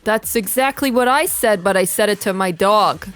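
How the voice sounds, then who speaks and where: A woman's voice: mid-range voice, moderate pace, fairly bright tone, soft background music, lightly acted. A woman playing a chummy, casual stockbroker buddy in a comedy script reading.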